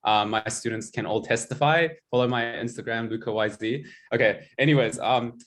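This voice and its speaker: A man's voice: mid-range voice, moderate pace, a bit bright. A young man in his twenties with a New Zealand accent, giving a casual, self-mocking presentation over a video call with lots of ums. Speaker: guest presenter, tutor.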